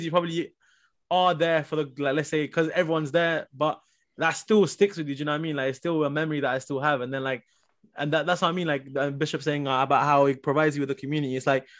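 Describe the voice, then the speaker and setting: A young man from Nepal chatting informally and slangily in a casual group podcast discussion, scattering "like" through his sentences. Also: fairly high pitch. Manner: informal, slangy, heavy use of "like". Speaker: podcast panelist.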